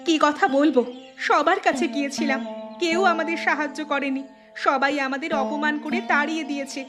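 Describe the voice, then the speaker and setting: A woman's voice: sits high, fairly even intonation, normal speed, over music, lightly acted. A woman voicing a poor, aggrieved thief's wife, plaintive and emphatic, in a cartoon drama. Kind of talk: Bengali cartoon voice acting.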